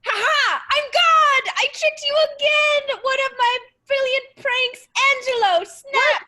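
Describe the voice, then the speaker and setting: A woman's voice: very high voice, takes it slowly, bright, forceful tone, voice pushed up, full character voice. A woman playing a gleeful, showboating prankster God in an improvised comedy sketch, playful and theatrical. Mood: triumphant.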